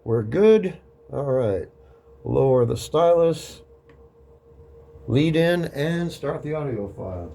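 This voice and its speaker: A man casually narrating a hands-on workshop demonstration, murmuring to himself in an unhurried way as he works. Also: medium pitch, expressive, vocal fry, mellow. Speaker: record-cutting hobbyist demonstrating a lathe.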